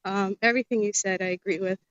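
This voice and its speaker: A woman from Somalia sharing her thoughts in a group discussion, soft-spoken and measured. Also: medium pitch, steady tone, dry recording. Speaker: panelist. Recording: muffled lo-fi audio.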